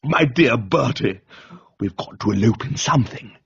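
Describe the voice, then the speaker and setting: A man plays a forthright English gentleman for a radio comedy reading. He is hearty and emphatic, with a rough, husky voice and plenty of bluster. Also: mid-range voice, lively intonation, normal speed, dry recording, dull audio, heavily acted. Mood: jubilant.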